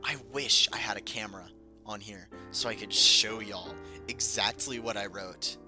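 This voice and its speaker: A man's voice: lowish voice, fairly even intonation, moderate pace. A man casually reading aloud a story he wrote as a child, with amused, self-mocking asides and music playing behind. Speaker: reader of his own childhood book.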